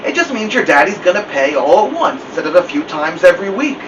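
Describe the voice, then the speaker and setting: A man's voice: high-pitched, very animated, fast talker, pitched above the performer's own voice. A man voicing an easygoing neighbourhood grocer in a storybook read-aloud, plain and matter-of-fact. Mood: reassuring. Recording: muffled lo-fi, distant mic, loud hiss.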